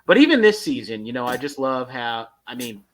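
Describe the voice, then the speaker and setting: A man co-hosting a casual fan review podcast, talking in a rambling, halting and enthusiastic way. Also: mid-range voice, sing-song. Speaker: podcast co-host.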